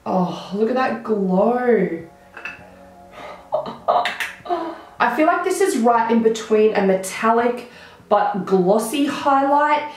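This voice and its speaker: A young woman with a croaky voice, chatting enthusiastically through a makeup tutorial to a close camera in a small room. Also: on the high side, lively intonation, slow pace, pauses often, slight background noise. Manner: chatty, enthusiastic product talk. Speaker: beauty vlogger. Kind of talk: casual makeup tutorial chat. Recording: very echoey room.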